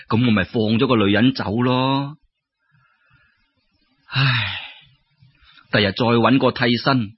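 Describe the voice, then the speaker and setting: A man voicing a kind-hearted male water ghost, friendly and wistful, in a soft, reassuring manner. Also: mid-range voice, husky, dry recording, voice pushed down. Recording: dull lo-fi radio-style recording.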